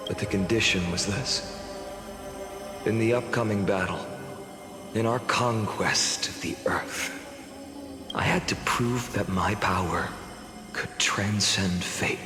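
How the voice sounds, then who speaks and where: A man playing a solemn, resolute swordsman in a fantasy game, speaking in a grave, formal, measured way. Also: fairly low pitch. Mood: somber narration. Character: solemn, resolute male swordsman from another era. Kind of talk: scripted fantasy game drama and narration. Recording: long reverb, clear music behind.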